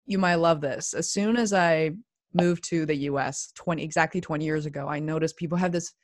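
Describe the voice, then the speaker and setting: A woman's voice: sits low, fairly even intonation, brisk, dry recording, clean studio sound. A woman interviewing a guest in a casual, chatty way, rambling and restarting her sentences.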